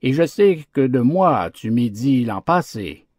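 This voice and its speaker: A man's voice: medium pitch, sing-song, a little slow, a little mellow, no room sound, studio quality, pitched above the performer's own voice. A man voicing a hungry, cruel male wolf in a storybook fable, accusing and imperious.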